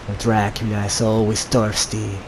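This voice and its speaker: A man roleplaying a bloodthirsty vampire dragon knight in a video game, speaking slowly and theatrically, with hiss on the recording. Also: on the low side, lightly acted. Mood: menacing, thirsty. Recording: steady background hiss.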